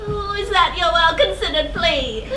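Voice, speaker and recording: A woman's voice: very high-pitched, animated, a little slow, sharp tone, heavily acted. Woman acting a blind prophetess, taunting, foreboding, declaimed stage verse, distant mic, echoey hall, faint noise. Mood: defiant. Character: blind old female seer, ominous and defiant.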